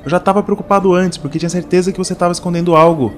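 A man's voice: sits high, fairly even intonation, rapid-fire, soft background music, close to an everyday voice. An actor voicing a devoted young man in an audio drama, speaking in an earnest, pleading way.

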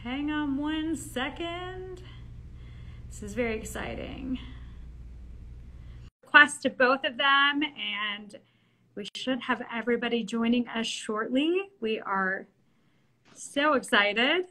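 Upbeat bright-voiced woman host. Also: fairly high pitch, slow, pauses often, rough recording. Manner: upbeat, greets viewers by name, fills with "um". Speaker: host of a live social media session. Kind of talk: casual live-stream chat.

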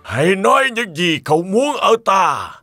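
A man voicing a big, eager magical genie in a children's cartoon dub, theatrical and booming. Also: sing-song, moderate pace, bright, forceful tone, dry recording, faint music behind, exaggerated voice.

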